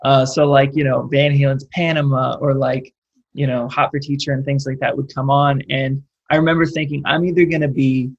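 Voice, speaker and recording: A man's voice: medium pitch, flat delivery, a little fast, lo-fi sound. A man in his late twenties with a Californian accent, chatting casually as an interview guest in a rambling, filler-heavy way. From California, United States. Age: late 20s. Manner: rambling, peppered with "like" and "you know". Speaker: interview guest, rock singer.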